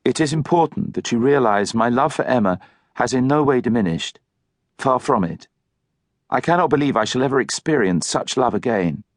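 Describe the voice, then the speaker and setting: A man's voice: mid-range voice, lively intonation, moderate pace, dry recording, clean studio sound, close to an everyday voice. A man voicing an earnest, polite young Englishman, speaking formally and articulately in an audiobook novel. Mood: heartfelt, solemn.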